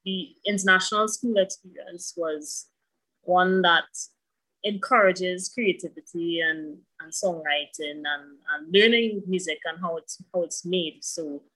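A woman's voice: on the low side, fairly bright tone, rough recording. A woman in her thirties, a musician talking thoughtfully about herself as a guest in a casual interview. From Trinidad and Tobago.

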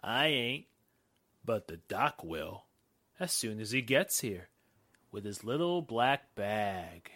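A man's voice: on the low side, very animated, slow pace, a bit bright, exaggerated voice. An actor playing a menacing American gangster henchman in a 1940s radio drama, talking in sneering, slangy tough-guy style.

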